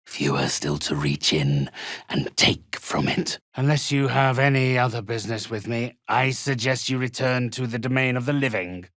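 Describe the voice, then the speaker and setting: An actor voicing an ancient undead necromancer in a video game, raspy, pompous and formal, haughty and theatrically menacing. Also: male voice, medium pitch, expressive, normal speed, a bit bright, dry recording, studio quality, close to an everyday voice. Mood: solemn, haughty. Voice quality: raspy, hoarse.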